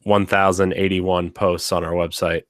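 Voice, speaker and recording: A man with a very low voice hosts a casual podcast, a little raspy from a week of sickness. He rambles along with lots of fillers and restarts. The sound is close and dry. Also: level tone, moderate pace, fairly bright tone, no room sound. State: slightly raspy from being sick. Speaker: podcast host. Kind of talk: casual chat.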